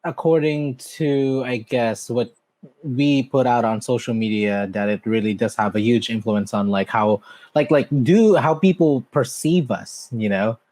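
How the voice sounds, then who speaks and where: A man's voice: unhurried, darkish tone, dry recording. A man hosting a podcast interview in a bubbly, chatty way, laughing often and correcting himself as he goes. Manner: bubbly, laughs often, swings up high in pitch. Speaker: podcast host. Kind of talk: casual interview chat.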